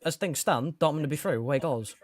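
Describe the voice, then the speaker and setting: A man's voice: on the high side, fairly quick. A young man co-hosting a football watch-along, breaking down tactics and stats. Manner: analytical, offers stats and tactics. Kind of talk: live football analysis chat.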